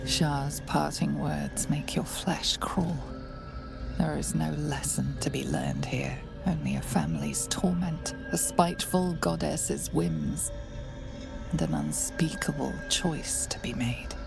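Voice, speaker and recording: An ominous storyteller narrates a dark fantasy game. Her voice is low and heavily creaky, breathy and hushed. She speaks gravely, with music underneath. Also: takes it slowly, full character voice.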